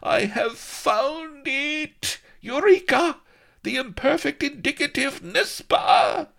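A man voicing an excitable pirate captain in an audiobook, who babbles French phrases in a shrill, gleeful voice. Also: slow pace, gravelly, heavily acted. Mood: exultant.

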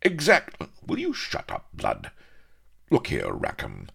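A man's voice: rumbling low, huge pitch swings, takes it slowly, creaky, a bit bright, husky, heavily acted. A man voicing a pompous patriotic naval hero who exclaims in a plummy, schoolboyish, indignant way. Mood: outraged. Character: pompous, patriotic young male naval hero, easily outraged.

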